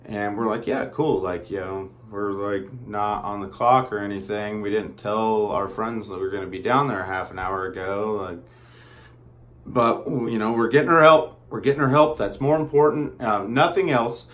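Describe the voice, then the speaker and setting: A man with a fairly low voice tells a story in a loose, conversational style. He rambles and pauses often, wandering into asides before picking the thread back up. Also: fairly bright tone. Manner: rambling and halting, with frequent asides. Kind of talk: casual personal storytelling.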